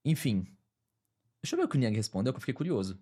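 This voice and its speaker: A man's voice: mid-range voice, sing-song, rapid-fire, mellow. A man from Brazil, a streamer, chatting casually and reacting to a video with lots of slang.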